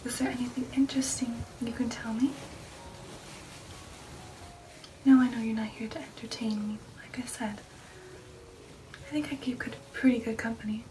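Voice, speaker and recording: A woman voicing a refined, affectionate female vampire in an intimate ASMR roleplay, with a breathy whisper and a genteel manner. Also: fairly high pitch, fairly even intonation, a little fast, dark tone, distant mic, slight background noise, close to an everyday voice, low-quality recording. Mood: politely intrigued. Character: refined, affectionate female vampire recounting an old shipboard romance. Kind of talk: ASMR roleplay, personal attention.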